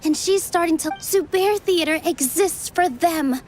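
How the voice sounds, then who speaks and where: Very high, gentle young dancer. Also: female voice, a little slow. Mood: earnest, restrained. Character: gentle, earnest young female theater dancer. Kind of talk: video game voice acting.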